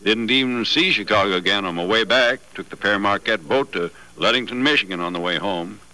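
A man's voice: on the low side, a little fast, pushed and bright, rough recording. A man acting a modest, wry builder in an old-time radio drama, folksy, dry and musing, on a vintage broadcast recording. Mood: teasing. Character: modest, wry builder in a wheelchair, skeptical and teasing.

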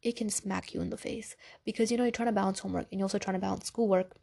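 A woman's voice: mid-range voice, fairly even intonation, rapid-fire, gravelly, mellow. A congested young woman hosting a podcast, giving casual, direct motivational talk with a soothing voice. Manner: chatty, direct, addresses listeners as girl and y'all. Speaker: podcast host.

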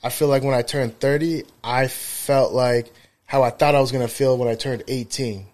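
A man's voice: mid-range voice, fairly even intonation, normal speed, darkish tone. A man in his thirties co-hosting a casual podcast, telling rambling, profane stories full of fillers. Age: 30s. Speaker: podcast co-host, barber.

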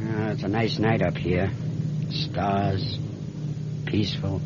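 An actor playing a seasoned airline captain in a radio drama, with clipped, commanding pilot talk. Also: male voice, low-pitched, level tone, slow. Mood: subdued, resolute. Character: seasoned male airline captain haunted by distress call. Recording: muffled old radio, loud engine noise.

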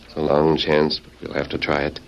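A man playing a stern, resolute masked western hero in an old radio drama, speaking in a measured, authoritative way. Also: very deep voice, monotone, gravelly, heavy background noise. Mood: grave, resolute. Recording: dull vintage radio recording, crowd murmur.

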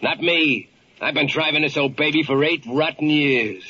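An actor playing a bitter, wisecracking, impatient ambulance driver in old-time radio drama, with slangy, scoffing tough talk. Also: male voice, fairly high pitch, normal speed. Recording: dull old radio recording, loud music.

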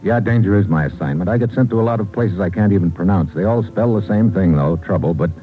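Low-voiced, hard-boiled American radio agent played by an actor. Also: male voice, fast, close to an everyday voice. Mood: wry, hard-boiled. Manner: clipped, slangy, world-weary. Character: tough, wry, two-fisted male government agent. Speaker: lead actor and narrator. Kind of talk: 1950s radio drama acting, hard-boiled narration. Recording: muffled old radio broadcast, loud music.

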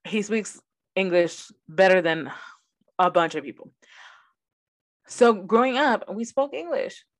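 A woman's voice: mid-range voice, measured pace, pauses often. A young woman whose first language is Spanish, co-hosting a podcast in casual chat and telling stories animatedly. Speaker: podcast co-host.

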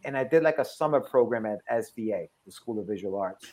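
A man's voice: lowish voice, animated, quick pace, mellow. A man in his forties from Ecuador who moved to the States as a child, talking casually and chattily as a podcast guest and repeating phrases. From Ecuador, moved to the States at seven. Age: 40s. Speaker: podcast guest, comic book creator. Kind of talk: casual conversational storytelling.

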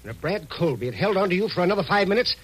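An actor playing a bossy, venomous little criminal mastermind, husky and strained, in 1940s radio detective drama. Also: male voice, sits high, lively intonation, very fast, fairly bright tone, heavily acted. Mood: bitter. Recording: old radio broadcast recording.

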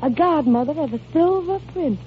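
An actress playing a gentle, whimsical, genteel lady in a refined, theatrical 1940s radio style. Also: high voice, lively intonation, moderate pace. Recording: muffled, noisy old radio recording.

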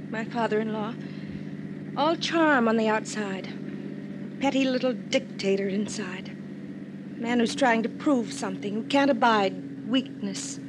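A young woman with an American accent acting an anxious, bitter young wife in an old-time radio drama, with emotional, halting delivery. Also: close to an everyday voice. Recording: noisy old radio recording, vehicle rumble.

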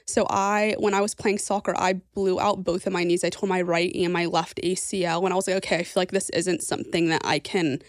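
A woman's voice: lowish voice, flat delivery, brisk, hardly any pauses, no echo, clean studio sound. A woman guest on a podcast talking casually, with many "like" fillers and restarts. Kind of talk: casual interview chat.

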